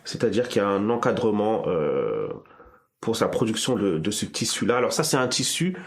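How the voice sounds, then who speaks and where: A man's voice: on the low side, big pitch swings, normal speed. A man hosting a casual discussion, thinking aloud with frequent restarts and repeated words. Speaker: podcast host.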